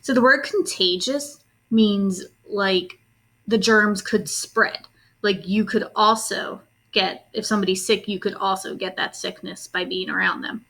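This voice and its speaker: A woman reading a children's story aloud expressively, pausing in a teacherly way to ask questions and explain to young listeners. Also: medium pitch, fairly bright tone. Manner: instructive, pauses to ask and explain.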